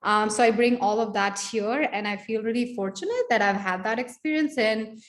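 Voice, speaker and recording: Woman, social work researcher on a panel, reflective, chatty delivery, higher-pitched voice. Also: moderate pace. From India. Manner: reflective, chatty, full of "like" and "you know". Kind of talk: panel discussion answer.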